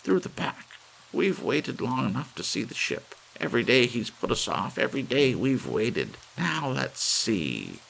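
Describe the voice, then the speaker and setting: A man voicing a small, indignant old lady who is scornful, forceful and demanding, in an audiobook story. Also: medium pitch, wildly swooping pitch, a little slow, gravelly, a little hiss or hum, pitched above the performer's own voice, heavily acted, rough recording. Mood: impatient, commanding. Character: fierce, indignant small old lady leading angry protesters.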